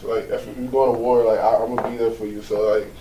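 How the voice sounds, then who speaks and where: A young man who plays college basketball and was born in Jamaica, speaking casually and a little softly. The sound is roomy and distant from the microphone, with faint ambience behind him. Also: mid-range voice, flat delivery, quick pace, a little hiss or hum. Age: young adult. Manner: casual, many "like" fillers, soft-spoken.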